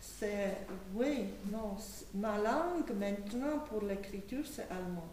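A woman in her sixties, a native Slovak speaker with a foreign accent, answering haltingly and searching for words. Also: mid-range voice, lively intonation, darkish tone. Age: 60s. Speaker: writer and panelist. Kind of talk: conversational panel answers. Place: small dim event venue with audience. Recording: echoey room, distant, faint noise.

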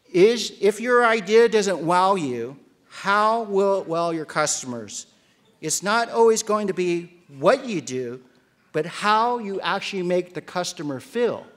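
A middle-aged man delivering a prepared, formal motivational commencement speech at a podium microphone, deliberate and halting, with emphatic pauses. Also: sits high, lively intonation, a bit bright. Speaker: commencement speaker, brand strategist. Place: large theater stage at a podium.

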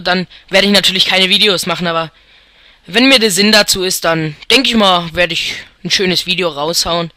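Slow, bright-voiced young gamer guy. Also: high voice, dry recording. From Germany. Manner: rambling, thinks aloud, chuckles often. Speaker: let's player. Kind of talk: casual gameplay commentary.

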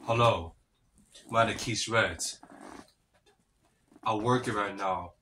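A man speaking slowly in short, broken phrases, repeating greetings over and over. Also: fairly low pitch, pushed and bright. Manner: slow, repetitive, halting broken phrases. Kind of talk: repetitive phone-style greetings. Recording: faint background noise.

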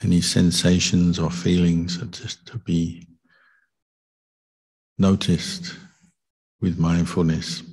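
Very deep, slow, gravelly male meditation guide. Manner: calm, deliberate, gentle instruction with long pauses. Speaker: Buddhist monk meditation teacher.

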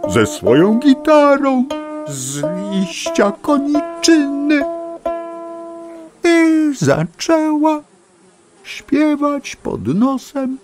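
A man narrating a children's tale in a theatrical, dramatic, expressive way. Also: very high-pitched. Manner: dramatic, expressive, addresses listeners. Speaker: storyteller narrator. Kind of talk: theatrical scripted children's storytelling.

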